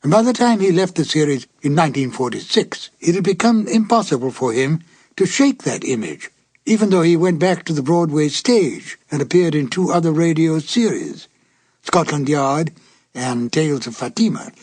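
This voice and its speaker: An older man with a husky voice, hosting with measured, formal, theatrical scripted narration. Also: fairly high pitch, animated, darkish tone, dry recording. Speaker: host and narrator. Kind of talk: scripted narration introducing vintage radio mystery episodes.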